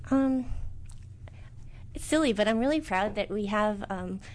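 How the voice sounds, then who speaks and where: Brief: middle-aged woman, business owner, interviewee; soft-spoken, halting, lots of ums. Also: mid-range voice, lively intonation, a little hiss or hum. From United States. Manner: soft-spoken, hesitant with many fillers. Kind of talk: interview answers.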